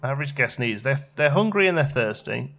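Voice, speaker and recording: A man's voice: mid-range voice, animated, a little fast, gravelly, a bit bright. A man giving casual let's play commentary, chatty and thinking aloud as he plays. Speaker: gaming channel host.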